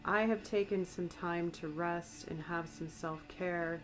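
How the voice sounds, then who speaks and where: Woman, American accent, a bit raspy from a cold, soft ASMR reading, low-pitched. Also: steady tone, slow pace, soft background music, rough recording. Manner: soft, meandering, conversational. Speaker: tarot reader and astrologer. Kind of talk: ASMR-style channeled tarot reading.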